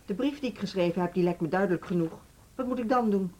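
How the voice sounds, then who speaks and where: An actress plays an unyielding school director in a radio play. She is a stern, principled woman with a husky, mid-pitched voice and a formal, stubborn way of speaking. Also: fast talker, warm tone, lightly acted, rough recording. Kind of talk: Dutch radio drama acting.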